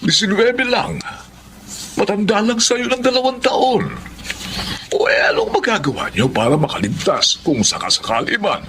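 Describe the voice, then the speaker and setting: A man's voice: sits high, sing-song, normal speed, pushed and bright, a little hiss or hum, heavily acted. A man acting a protective, worried old grandfather with a Filipino accent in a radio drama. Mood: worried, cautioning. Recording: radio drama with music underneath.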